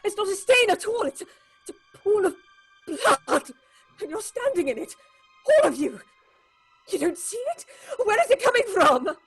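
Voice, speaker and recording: A woman playing an unhinged theatre actress haunted by visions of blood, speaking in a halting, repetitive, feverish way in a horror audio drama. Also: extremely high pitch, big pitch swings, faint music behind, full character voice. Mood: frantic alarm.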